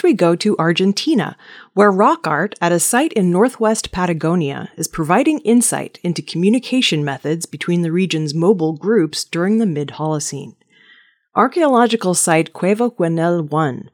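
A woman's voice: fairly low pitch, darkish tone. A woman reading scripted news stories as a narrator. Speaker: news reader.

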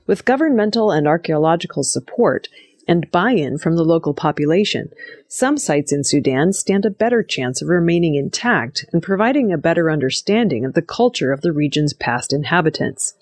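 A woman's voice: sits low, expressive, moderate pace, darkish tone. A woman reading scripted news narration as the presenter of an audio news program. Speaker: news reader.

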